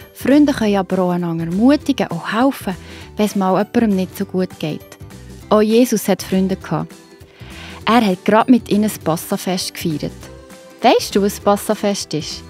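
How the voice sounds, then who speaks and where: A woman's voice: mid-range voice, darkish tone. A woman with a Swiss German accent narrating a scripted Bible story for children.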